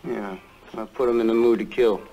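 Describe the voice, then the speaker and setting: An actor playing a self-assured, tough detective's partner in a 1960s television drama. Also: male voice, fairly low pitch, fairly even intonation, normal speed, dull audio, faint music behind, lightly acted.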